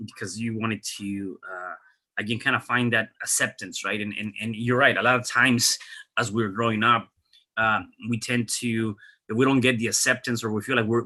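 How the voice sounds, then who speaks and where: Bright-voiced male podcast host. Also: lowish voice. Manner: conversational, with many fillers and repeats. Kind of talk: podcast interview.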